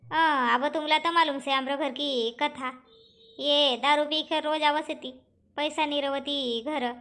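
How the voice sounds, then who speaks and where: A woman acts out a cartoon village farm woman with an extremely high, piercingly bright voice. She is talkative and plaintive, haggling in a rural Powari accent. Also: fairly even intonation, measured pace. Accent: Powari, eastern Maharashtra and Balaghat region. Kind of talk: cartoon comedy dialogue.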